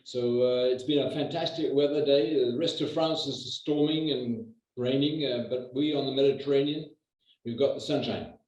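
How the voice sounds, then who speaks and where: An older man from New Zealand, a real estate agent, talking in a measured way with lots of hesitations. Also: mid-range voice, steady tone, darkish tone. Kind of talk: conversational interview. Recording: echoey distant muffled video call.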